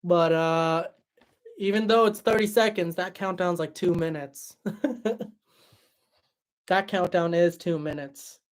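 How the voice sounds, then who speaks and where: A young man hosting a livestream and answering chat in a relaxed, chatty way, his voice tired after hours of talking. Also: sits high, slow, long pauses. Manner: relaxed, chatty, many fillers and restarts. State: voice tired after a long stream. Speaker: livestream host reading chat.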